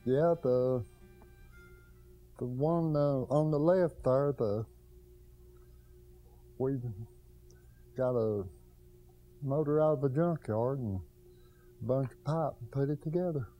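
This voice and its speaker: An older man and garage owner answering questions in a plainspoken, hesitant way with many pauses, speaking in a large cluttered garage workshop. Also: medium pitch, lo-fi sound, soft background music. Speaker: car restorer, garage owner. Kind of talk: casual interview answers.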